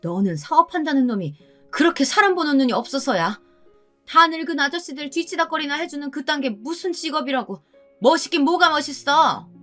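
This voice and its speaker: A woman's voice: sits high, expressive, a little fast, a bit bright, faint music behind, pitched above the performer's own voice. A woman voicing a haughty, sneering older mother who scolds with condescension, in a dramatized story narration. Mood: angry, scornful. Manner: condescending, scolding, mixes polite and casual speech.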